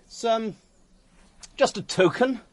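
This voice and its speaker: A man playing an excitable, boastful, unhinged man on the run in a theatrical radio drama. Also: very high-pitched, slow pace, no room sound. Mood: breezy, boastful. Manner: excitable, theatrical, swinging between bluster and chuckles.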